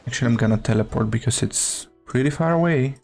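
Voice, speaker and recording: A man's voice: medium pitch, fast, darkish tone, faint music behind. A man chatting casually as a live game streamer, hesitant and muttering to himself.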